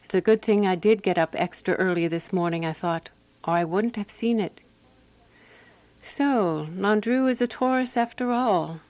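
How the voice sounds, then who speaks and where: An actress playing a composed, wry woman sleuth narrating a radio mystery in measured, scripted storytelling. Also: medium pitch, a little mellow, no room sound, lightly acted.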